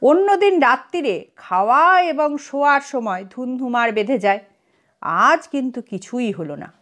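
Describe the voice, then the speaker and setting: A woman reads a comic story aloud with a high, very animated voice. Her delivery is scripted yet expressive, with a dramatic storyteller's way of shaping each phrase. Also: measured pace. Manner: dramatic storytelling, acts out characters' lines. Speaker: audio story narrator.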